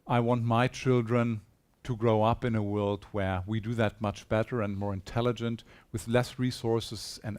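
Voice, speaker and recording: Brief: male conference speaker on stage, mid-range voice, earnest and persuasive, speaks into a stage mic. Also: mid-range voice. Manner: earnest, persuasive, poses rhetorical questions to the audience. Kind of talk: conference presentation. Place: conference hall.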